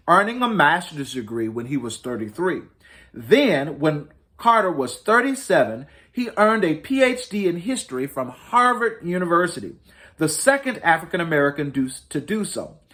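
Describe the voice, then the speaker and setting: A man reads a children's story aloud with highly varied, lively intonation. His voice sits a little high, and he places emphasis deliberately, like a host telling a tale to young listeners. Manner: deliberate, emphatic storytelling with dramatic rises and falls. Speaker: host reading stories to children. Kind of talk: expressive children's storybook reading.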